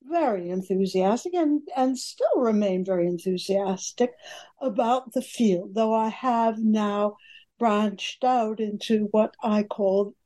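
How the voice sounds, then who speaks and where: An older woman historian answering interview questions, halting, with many fillers. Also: medium pitch, warm tone. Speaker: historian guest.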